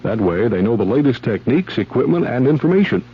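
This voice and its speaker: A man's voice: mid-range voice, sing-song, fast talker, slightly rough. An American man reading a scripted 1970s radio commercial as the announcer. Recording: dull old radio recording.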